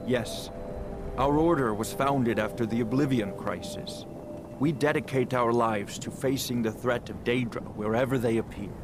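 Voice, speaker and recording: An actor playing a solemn, devout holy healer and demon hunter in a fantasy video game, formal and grave. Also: male voice, mid-range voice, moderate pace, background noise, lightly acted. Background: music.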